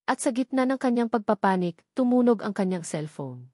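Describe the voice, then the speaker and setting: A woman's voice: on the high side, animated, a little fast, mellow, no room sound, studio quality. A woman reading a scripted dramatic love story as its narrator.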